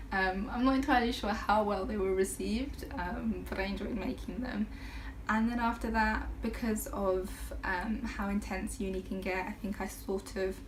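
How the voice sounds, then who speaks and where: A woman in her twenties from the UK, chatting casually to camera in a rambling way, with many fillers and soft laughs. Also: medium pitch, rough recording. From United Kingdom. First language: half Brazilian, Portuguese spoken at home. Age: 20s. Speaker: vlogger. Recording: noticeable background noise.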